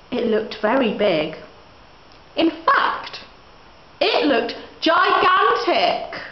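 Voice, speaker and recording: A young woman reading a children's story aloud expressively, in the manner of a student narrator. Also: high voice. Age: young adult. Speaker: student reader. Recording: echoey, muffled room recording.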